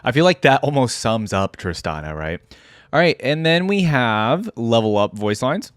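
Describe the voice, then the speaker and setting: A woman's voice: a bass voice, big pitch swings, moderate pace, noisy background. A woman giving easygoing, chatty, amused commentary as host of a video game reaction. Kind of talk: casual commentary on game voice lines.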